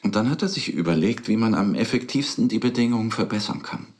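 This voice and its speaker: A grave, thoughtful character in a radio drama. The voice is deep, and the delivery is natural, reflective and measured, like someone explaining from experience. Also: male voice, animated, darkish tone. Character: thoughtful, serious man recounting experiences of hardship abroad.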